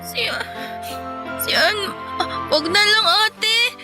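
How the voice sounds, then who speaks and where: Timid kid-sister role, extremely high, slow-paced, whiny and pleading, radio drama. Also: female voice, loud music behind the voice. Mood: tearful, hesitant. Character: timid little girl, tearful younger sister.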